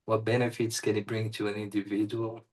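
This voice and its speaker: A man hosting an interview, asking friendly questions and reacting briefly, with somewhat halting phrasing. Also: lowish voice, level tone, low-quality recording. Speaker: interviewer, host.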